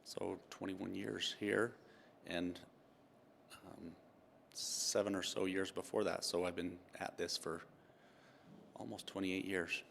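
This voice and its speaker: A man in his fifties from Utah, a tax partner answering interview questions with dry, self-deprecating humor and many pauses. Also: low voice, flat delivery, creaky, fairly bright tone, a little hiss or hum.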